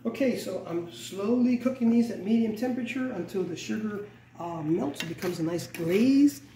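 A middle-aged man hosting a home cooking show, talking through each step in a relaxed, instructive way and often correcting himself. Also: high voice, moderate pace. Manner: relaxed, instructive, self-correcting, repeats "go ahead and". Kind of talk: casual step-by-step cooking demonstration. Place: large bright home kitchen, indoors. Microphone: camera mic from across the kitchen. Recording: roomy, distant, noisy kitchen audio.

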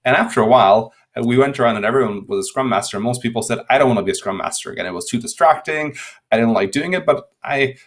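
A man's voice: mid-range voice, fast. A man in his thirties from Hungary with a Hungarian accent, answering interview questions over a video call, often repeating words as he restarts sentences. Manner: frequent word repetitions and restarts. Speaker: guest speaker. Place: home room. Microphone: laptop webcam video call.

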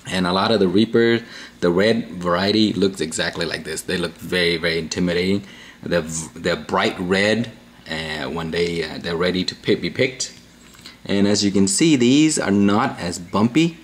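A man in his thirties hosting a casual pepper-review vlog, talking easily with lots of ums, uhs and restarts, filmed at a table indoors. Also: low voice. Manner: easygoing, rambling with many fillers and restarts. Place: small indoor room at a table.

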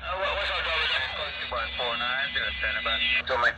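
A man's voice: mid-range voice, animated, very fast. A man talking casually over a CB radio as an operator, in colloquial speech full of radio sign-offs and greetings. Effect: CB radio transmission. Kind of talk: casual radio call-outs and chat. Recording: noisy CB radio reception, heavy static.

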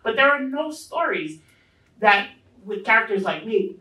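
A woman's voice: a little high, big pitch swings, measured pace, bright, forceful tone. A middle-aged woman from Hawaii talking informally on a panel, telling anecdotes and quoting people as she goes. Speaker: panelist, self-publishing publisher. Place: indoor panel event room. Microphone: handheld microphone. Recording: roomy echo, distant event sound.

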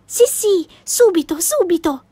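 A woman's voice: high-pitched, moderate pace, darkish tone, no echo, voice pushed up, close to an everyday voice. A woman voicing a shy little girl who speaks hesitantly and earnestly. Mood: timid.

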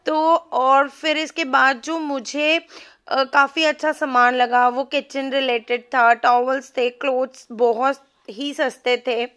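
A woman's voice: high voice, fairly even intonation, slow pace, fairly bright tone, clear, resonant tone. A woman giving a casual, chatty vlog voice-over, mixing in English words. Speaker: shopping vlogger.

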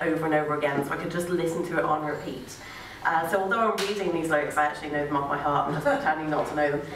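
A woman's voice: low voice, fairly bright tone, distant mic. A young woman telling a comic piece live on stage in a wry, sarcastic manner, with sweary asides. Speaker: spoken-word performer. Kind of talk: comic live storytelling and dramatic reading. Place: on stage in a small dark venue. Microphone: microphone on a stand. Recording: echoey venue from afar, faint noise.

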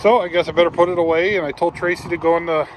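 A man's voice: on the high side, fairly even intonation, rapid-fire, poor audio. A middle-aged man chatting casually and plainly to camera, in an offhand way. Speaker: vlogger. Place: parked or moving pickup cab, later outdoors on gravel drive. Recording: clear background noise, vehicle and outdoors.

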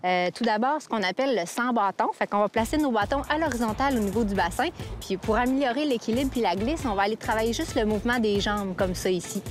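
A woman in her thirties with a Québécois accent gives clear, practical coaching tips in a somewhat high voice. She speaks outdoors in the snow with faint music behind. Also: fast, few pauses. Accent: Québécois French. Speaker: cross-country ski coach. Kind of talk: instructional explanation. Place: snowy park outdoors.

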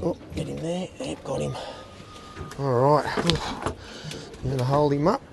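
Excitable fishing host. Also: male voice, fairly high pitch, slow pace, vocal fry, very noisy. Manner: enthusiastic, exclaiming, talks to the fish and his mate. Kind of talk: excited on-the-water fishing commentary. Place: outdoors on a small fishing boat.

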